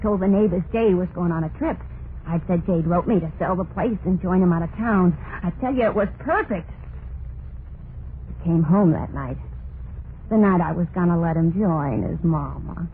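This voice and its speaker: A woman's voice: on the low side, normal speed, natural voice. An actress playing a hard, bitter murderous woman confessing her crime in a terse, dramatic old-time radio horror style. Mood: grim confiding. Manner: terse, snappish, grimly dramatic. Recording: tinny, noisy vintage radio recording.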